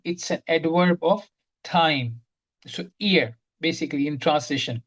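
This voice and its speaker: Methodical woman teaching grammar online. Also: on the low side. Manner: methodical, explains step by step and repeats key terms; quizzes the class directly.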